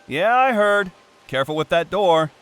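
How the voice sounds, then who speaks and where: A man's voice: high-pitched, animated, normal speed, fairly bright tone, smooth. A man playing a concerned, pushy middle-aged father in an audio drama, earnest and coaxing.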